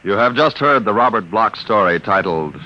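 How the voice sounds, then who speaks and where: A man's voice: fairly low pitch, expressive, moderate pace. A man announcing credits and promotions on a 1950s radio show, in a polished, promotional delivery. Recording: dull, noisy old radio broadcast.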